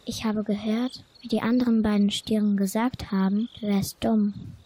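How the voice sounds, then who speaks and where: A woman playing a sly lion with a husky voice, spreading lies in a hushed, insinuating way in an amateur children's radio play. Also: medium pitch, level tone, moderate pace, natural voice. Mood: sly, secretive.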